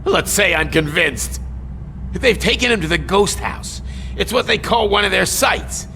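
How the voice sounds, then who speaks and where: An actor playing a smug, slippery arcade owner who deals drugs in a video game, sardonic and smooth-talking. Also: male voice, high-pitched, expressive, measured pace, bright, forceful tone, a little hiss or hum, heavily acted. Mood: bargaining. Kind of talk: video game cutscene acting.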